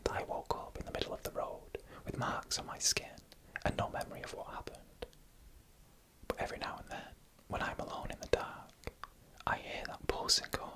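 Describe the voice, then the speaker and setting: A narrator telling scary stories in a breathy ASMR whisper, hushed and deliberate, in short phrases close to the microphone. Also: low-quality recording. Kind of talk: whispered ASMR horror storytelling, scripted narration. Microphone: close microphone made for headphone listening.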